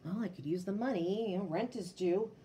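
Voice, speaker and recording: A woman's voice: mid-range voice, brisk, warm tone, natural voice. A woman voicing a kindly, modest old male shopkeeper in a storybook read-aloud, polite and hesitant.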